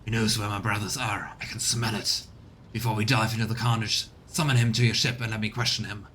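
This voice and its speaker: Man playing a low-voiced, vengeful wolf warrior. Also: fairly even intonation, brisk, fairly bright tone, a little hiss or hum, lightly acted. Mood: resentful, demanding. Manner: demanding, blunt. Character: gruff, vengeful, bestial space wolf warrior. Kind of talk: video game character reading.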